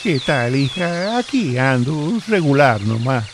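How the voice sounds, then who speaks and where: A man with an Argentine accent playing a gentle, resigned old grandfather in a radio drama, speaking in a weary, patient way. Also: medium pitch, very animated, slow pace, noisy background. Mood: downcast. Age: older.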